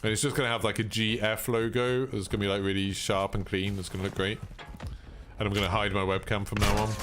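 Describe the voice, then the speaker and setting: Relaxed British male streamer with a deep voice, easygoing chat over loud game gunfire. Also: fast, noisy background. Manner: laid-back, chilled delivery. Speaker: gaming streamer. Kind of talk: casual live-stream chat over gameplay. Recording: gameplay gunfire and clicks behind.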